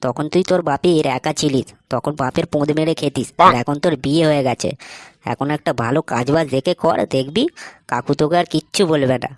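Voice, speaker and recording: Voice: medium pitch, brisk, no echo, clean studio sound, lightly acted. An actor voicing a mocking young male buddy in a comedy cartoon, teasing and preachy, with vulgar talk. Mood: lecturing. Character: mocking, crude young male buddy, cheeky know-it-all.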